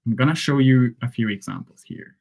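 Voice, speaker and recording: Hungarian guy teaching english on a live stream, easygoing, keeps asking right? okay?, mid-pitched voice. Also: fairly quick, darkish tone. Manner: conversational teaching, frequent "right?" and "okay?" check-ins. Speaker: English coach.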